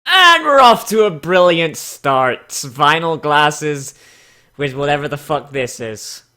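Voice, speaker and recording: A man's voice: on the high side, animated, slow pace, bright, forceful tone, clean tone. A man giving casual comedic commentary and mock dramatic readings in a sarcastic, theatrical, foul-mouthed way. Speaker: YouTube host.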